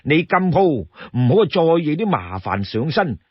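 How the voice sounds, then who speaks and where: A man's voice: a little high, big pitch swings, moderate pace, husky, no echo. A man voicing a shrewd, exasperated younger outlaw in a storybook tale, firmly admonishing his companion. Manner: firm, admonishing, laying down rules. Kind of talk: Cantonese storytelling character voice. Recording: dull, close dry studio sound.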